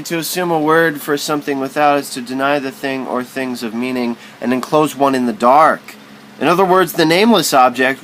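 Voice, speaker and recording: A man's voice: mid-range voice, a bit bright, slight background noise, low-quality recording. A man reading literary prose aloud as spoken word, in a musing, philosophical manner.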